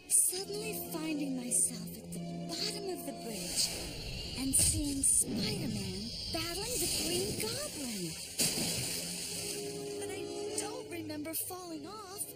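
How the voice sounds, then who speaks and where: An actress playing an anxious, sweet young woman in an animated series, with a dramatic, wavering delivery. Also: very high-pitched, exaggerated voice. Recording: loud music under the voice.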